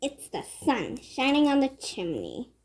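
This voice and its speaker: A woman's voice: high-pitched, wildly swooping pitch, measured pace, full character voice, poor audio. A woman voicing a cold, scolding, selfish stepmother in a fairy tale, bossy and scornful.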